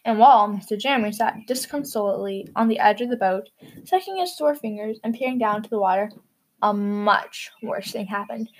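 A woman's voice: medium pitch, expressive, a little fast. A woman hosting and narrating a storybook for children.

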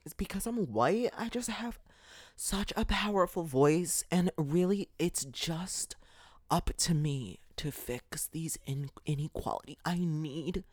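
A man mockingly voicing a preachy, self-important woman who gushes in a breathy, high-pitched way. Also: a little mellow, no room sound.

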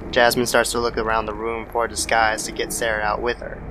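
A man's voice: lowish voice, monotone, brisk. A man narrating a scripted horror story as an old-time style radio drama. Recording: noisy background ambience.

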